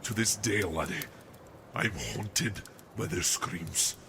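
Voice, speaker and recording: A man playing a haunted, fatherly older army captain in a dramatic radio play, speaking gravely and deliberately over noisy ambience. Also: fairly low pitch. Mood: haunted, weary. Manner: grave, deliberate storytelling, calls listener "laddie". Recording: noisy amateur audio drama with outdoor ambience.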